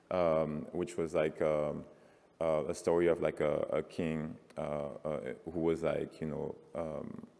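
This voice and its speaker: A man's voice: very deep voice, gravelly, a little mellow. A man in his forties from France, giving a loose, filler-heavy conference talk about his work. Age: 40s. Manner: casual, many fillers like "like". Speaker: panelist artist. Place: large conference hall. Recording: reverberant hall.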